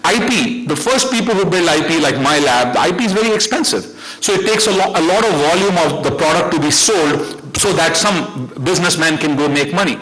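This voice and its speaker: A man's voice: fairly high pitch, animated, a little fast, a bit bright, poor audio. A middle-aged man with an Indian accent giving an unscripted conference talk as a researcher, arguing his points in an emphatic, conversational way. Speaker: academic researcher speaking on a panel.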